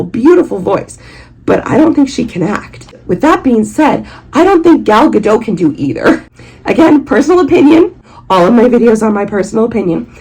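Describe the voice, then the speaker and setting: A young woman with a cold and a hoarse, crackly voice, chatting casually and giving strong opinions in a movie review vlog. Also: fairly low pitch, a little mellow. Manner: chatty, opinionated, says "like" a lot. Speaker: vlogger.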